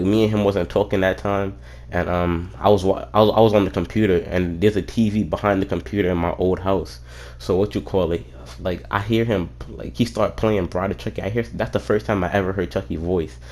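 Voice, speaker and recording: Deep-voiced young man telling a rambling story. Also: a little mellow. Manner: rambling, swears freely, frequent "what you call it" fillers. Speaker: streamer. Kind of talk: casual storytelling.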